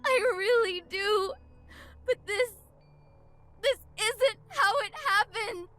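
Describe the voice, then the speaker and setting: A woman's voice: very high voice, slow, pushed and bright, soft background music, full character voice. An actress playing a fearful, grieving young woman in a horror visual novel, hesitant and tearful. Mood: tearful, distressed. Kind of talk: dramatic visual novel acting.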